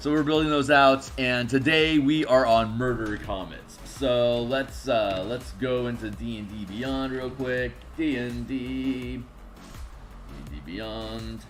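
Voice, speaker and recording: Male streamer, raspy and sleepy, casual rambling chat with lots of fillers, average pitch. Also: takes it slowly, faint music behind. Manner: rambling, many fillers, mutters to himself while working. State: tired, just woke up. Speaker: streamer making game art. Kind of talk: casual live-stream chat while working.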